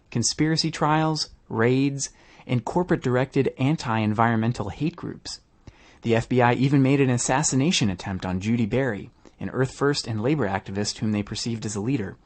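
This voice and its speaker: A man reading scripted narration as a podcast host, with a husky edge to his voice. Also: mid-range voice.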